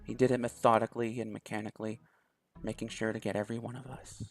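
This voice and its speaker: A man's voice: on the low side, flat delivery, fast, faint music behind. A young man hosting a let's play, chatting casually and reading a visual novel's story text aloud. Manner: chatty, laughs easily, thinks aloud.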